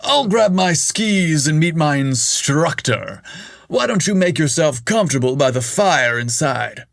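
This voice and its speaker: A man's voice: fairly high pitch, animated, unhurried, gravelly, no room sound, clean studio sound, voice pushed up. A man doing an upbeat, flirty boss voice.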